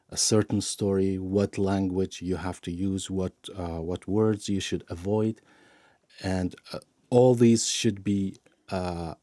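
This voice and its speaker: A man answering interview questions as a media analyst, halting with frequent restarts and fillers. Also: low voice, dark tone, dry recording, studio quality. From Iran.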